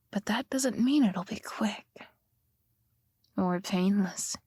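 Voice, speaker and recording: A woman acting a possessive, sweetly menacing yandere girlfriend in an intimate ASMR roleplay, with a breathy voice that often drops to a whisper and a coaxing, threatening manner. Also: mid-range voice, no echo, clean studio sound, exaggerated voice. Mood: cold menace. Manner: slow, coaxing, softly threatening.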